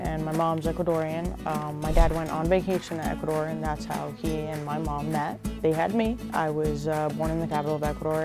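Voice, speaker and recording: A young woman, a college athlete, answering interview questions in a relaxed, thoughtful way with fillers. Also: low-pitched. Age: young adult. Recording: music bed under the voice.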